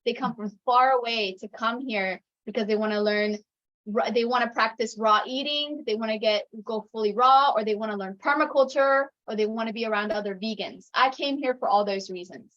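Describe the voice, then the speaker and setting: A woman's voice: a little high, brisk, a bit bright. A woman from Ecuador whose first language is Spanish, talking casually about her community with lots of fillers and restarts. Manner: rambling, many fillers and restarts. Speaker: community resident. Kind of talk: casual chat on a video call. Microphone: video call. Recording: dull lo-fi call audio.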